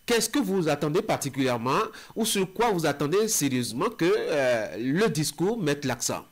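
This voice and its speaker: A man's voice: fairly high pitch, sing-song, normal speed. A man with a West African French accent interviewing on politics, building his questions step by step with some hesitation.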